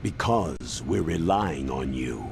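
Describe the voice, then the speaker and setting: An actor playing an older military commander in a video game, grave, measured and earnest, speaking with weary authority. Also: male voice, rumbling low, lively intonation, vocal fry, noisy background, heavily acted. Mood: grave, evasive.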